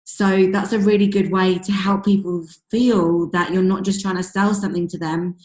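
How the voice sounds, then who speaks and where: Husky woman on a video call. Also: medium pitch, flat delivery, moderate pace, darkish tone. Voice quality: husky, losing her voice. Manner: chatty, many fillers like "um" and "you know". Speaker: team leader coaching sales recruits. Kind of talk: casual motivational coaching talk. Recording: echoey room over a video call.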